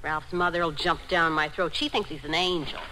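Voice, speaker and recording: Scornful, sharp American young wife. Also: female voice, low voice, steady tone, moderate pace. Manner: sharp-tongued, dismissive, hollers. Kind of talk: 1950s American radio drama acting. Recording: faintly noisy old radio broadcast.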